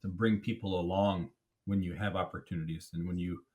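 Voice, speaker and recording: A man's voice: sits low, fairly even intonation, brisk, darkish tone. A man hosting a podcast interview, asking questions in a conversational, reflective, somewhat hesitant way. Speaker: podcast host.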